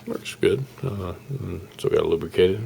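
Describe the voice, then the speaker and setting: A man with a very low, gravelly voice talks through a hands-on project in a casual, unscripted way. He rambles and halts as he explains, in a folksy manner. Some faint noise sits behind his voice. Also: fairly quick, darkish tone. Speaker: hobbyist radio restorer presenting his project.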